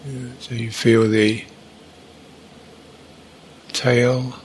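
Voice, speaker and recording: A man leads a guided meditation at a very slow pace. His medium-pitched voice stays flat and even, moving through short, halting instructions with long gaps between them. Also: a little hiss or hum. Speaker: meditation teacher.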